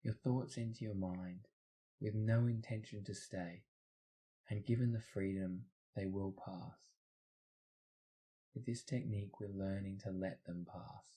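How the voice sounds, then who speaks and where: A man with a fairly low voice and a very mellow, relaxed tone leads a guided meditation. He reads scripted instructions in a soothing way, guiding the listener step by step. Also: a little slow.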